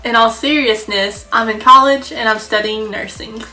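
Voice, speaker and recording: 21 yr old girl from mississippi, playful and chatty, bright highish voice, light music under. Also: steady tone, moderate pace, low-quality recording. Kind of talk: casual self-introduction.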